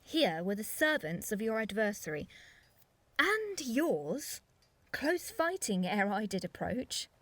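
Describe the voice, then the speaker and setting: Woman playing concerned young noble peacemaker, shakespeare audio play, higher voice, unhurried. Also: big pitch swings, fairly bright tone, dry recording, exaggerated voice. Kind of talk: Shakespearean audio drama acting.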